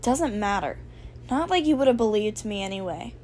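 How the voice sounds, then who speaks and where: A woman's voice: medium pitch, lively intonation, moderate pace, mellow, slight background noise, lightly acted. A woman voicing a sarcastic, evasive teenage boy hacker with a casual, dismissive manner in a story reading.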